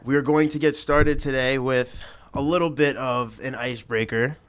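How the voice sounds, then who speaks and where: Teen guy hosting a chat show, clean smooth voice, normal pitch. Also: fairly even intonation, normal speed. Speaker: talk show host. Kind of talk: hosted roundtable chat.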